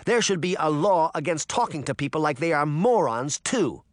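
Man voicing a fiery preteen girl, high and indignant, with lively pitch. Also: normal speed, dry recording, studio quality, close to an everyday voice. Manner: indignant, sharp. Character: angry young girl, almost twelve.

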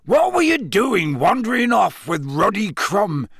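A massive, gruff storybook giant, growling in blunt country speech. He is fiercely loyal and short-tempered, with lively swings of pitch in a dry recording. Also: measured pace, no echo, exaggerated voice. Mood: angry, indignant. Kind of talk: audiobook character acting.

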